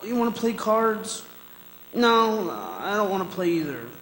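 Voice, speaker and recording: A man's voice: high-pitched, very animated, a little slow, noisy background. A man playing a condemned prisoner in a radio drama, talking in a folksy, colloquial way. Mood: anxious, pleading. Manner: folksy, colloquial, addressing the listener. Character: condemned male prisoner, folksy narrator, anxious and pleading. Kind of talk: radio drama acting, conversational storytelling. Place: performing arts center stage. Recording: echoey stage hall with long reverb, music.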